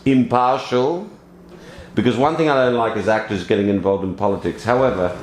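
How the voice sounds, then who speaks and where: A man's voice: fairly low pitch, brisk. A man in his fifties from Wales, an actor chatting casually in an interview in a rambling, jokey way. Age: 50s. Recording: echoey room with faint noise.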